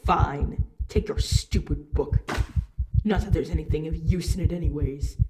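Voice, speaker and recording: A woman's voice: sits low, steady tone, normal speed, background noise. A woman playing a taunting, menacing female spirit with a mocking, theatrical manner in an audio roleplay. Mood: irked, menacing. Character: taunting, playful, menacing female spirit toying with her victim. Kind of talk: narrative audio roleplay acting.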